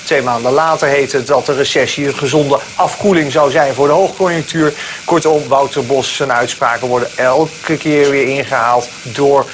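A man delivers blunt financial commentary in a dismissive way. His voice sits at a middling pitch, with some sizzling noise running behind it. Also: fairly even intonation, normal speed. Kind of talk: broadcast interview commentary.

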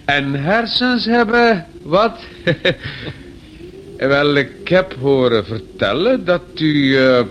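An actor playing a fussy, awkward district police inspector in a radio play, officious yet apologetic, stammering and repeating himself. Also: male voice, on the high side, expressive, slow, fairly bright tone. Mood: hedging, embarrassed. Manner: hesitant, stammering, apologetic, repetitive. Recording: dull, faintly noisy old radio recording.

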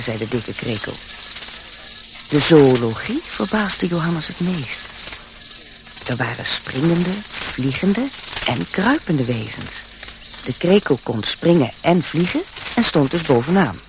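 A man's voice: mid-range voice, animated, a little slow, heavy background noise. A man narrating a radio play in a scripted storybook style.

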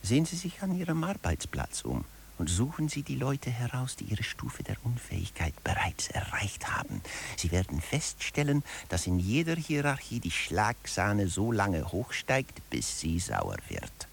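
An actor playing a management theorist who lectures with sly satire and emphatic, deliberate phrasing in a radio drama. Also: male voice, fairly low pitch, huge pitch swings, gravelly, slight background noise, full character voice. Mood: wry, instructive. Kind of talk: scripted audio drama lecture.

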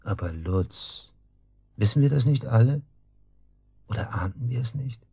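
A man's voice: mid-range voice, animated, unhurried, creaky, warm tone, husky, no echo, clean studio sound, exaggerated voice. An actor in a German radio drama playing a smug, taunting man just released from custody, speaking with mocking casualness.

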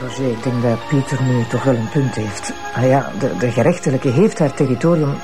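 A man's voice: medium pitch, a little fast, close to an everyday voice. Reasonable Flemish man over music, acted. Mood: conciliatory. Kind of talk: radio drama acting.

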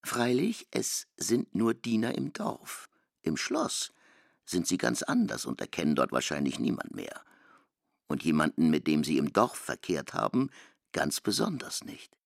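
Narrator: a deep-voiced man with a gravelly, expressive, dry read. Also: unhurried, no echo. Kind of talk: radio play narration.

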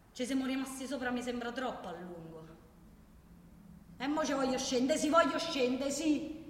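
An actress playing a defiant, impassioned protester in a theatrical monologue, declaiming insistently in a Roman Italian accent with emphatic repetition. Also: female voice, sits high, fairly bright tone. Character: defiant, impassioned woman protester ranting on a train. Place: large reverberant room, far from microphone. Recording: distant, echoey hall with background noise.

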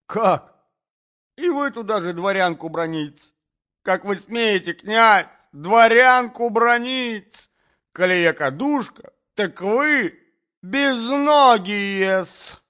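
An indignant provincial gentlewoman acted by a male reader shrieks her grievances in a high, wildly swinging voice. She is vindictive and affronted, stretching out old-fashioned retorts. The storybook recording sounds slightly dull. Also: expressive, full character voice. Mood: shrieking outrage. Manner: shrill, servile old-fashioned speech tics, drawn-out retorts.

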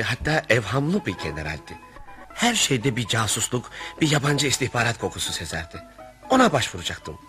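An actor playing an earnest, exasperated family friend in radio theatre, delivered as theatrical storytelling. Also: male voice, fairly low pitch, bright, forceful tone. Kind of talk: radio theatre narration and dialogue.

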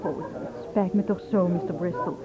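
A woman's voice: lowish voice, normal speed, natural voice. An actress playing a charming, polite young woman in a radio play, apologetic and hesitant in manner. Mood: flustered, apologetic. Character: charming young Englishwoman, apologetic, shy and reserved. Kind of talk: Dutch radio play dialogue. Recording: dull old radio recording, music behind. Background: music.

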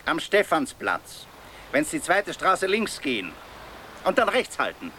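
An actor in a 1970s radio drama playing a gruff, impatient policeman with a Viennese accent. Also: a little high, huge pitch swings, measured pace, bright, forceful tone, a little hiss or hum, exaggerated voice.

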